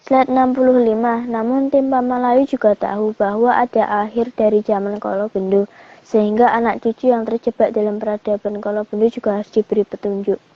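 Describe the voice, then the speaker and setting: A woman reads slide text in a level, monotone voice that sits fairly high. Her delivery is scripted and steady, with little rise or fall. The audio is muffled and lo-fi. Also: fairly quick. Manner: flat, even recitation. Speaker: slide reader. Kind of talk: scripted reading.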